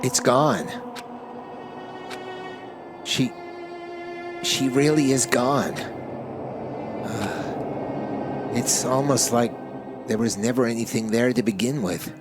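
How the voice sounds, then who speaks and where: A man's voice: medium pitch, slow pace, pauses often, over music, natural voice. Man reading as a somber, brooding teenage boy. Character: brooding, earnest teenage boy, game protagonist. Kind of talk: visual novel read-aloud.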